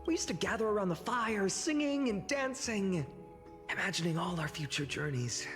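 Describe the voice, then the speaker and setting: A dashing young man in a video game, light and nostalgic. His pitch moves a lot. A long echo trails his voice, with faint music behind. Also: lively intonation, normal speed, fairly bright tone. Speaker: game character.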